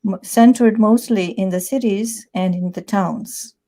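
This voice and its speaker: A woman's voice: mid-range voice, unhurried, mellow. A woman in her sixties from Tanzania, a publisher, chatting as an interview guest with many "you know"s and restarts. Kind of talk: conversational interview.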